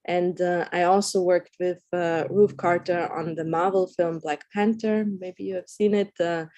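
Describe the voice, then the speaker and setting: Earnest Austrian-born woman presenting, low voice. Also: warm tone, dry recording, highs cut off. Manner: earnest, with frequent fillers and restarts. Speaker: panelist presenting her design work. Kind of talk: conference panel talk.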